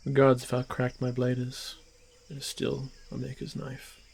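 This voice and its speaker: Man playing a gravelly, hushed orc warrior. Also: mid-range voice, completely flat delivery, close to an everyday voice. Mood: solemn, firm. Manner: hushed, halting, formal speech with frequent pauses. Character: stoic, honor-bound male orc warrior, gently protective. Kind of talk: fantasy ASMR roleplay. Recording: noisy track with night insect ambience. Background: crickets and insect ambience.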